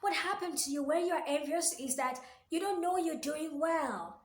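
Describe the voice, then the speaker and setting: A woman's voice: high-pitched, lively intonation, normal speed, echoey room. A woman giving motivational workplace advice straight to the listener, earnest and fond of repeating her key phrases. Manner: addresses the listener directly with questions. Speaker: workplace coach and video host. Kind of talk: motivational advice talk to camera.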